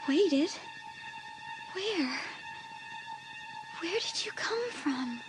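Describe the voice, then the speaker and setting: An actress playing an excitable, frightened teenage girl with an urgent, emotional delivery in a dramatised audiobook. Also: very slow, over music, full character voice. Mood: bewildered. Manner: breathless, urgent, highly emotional.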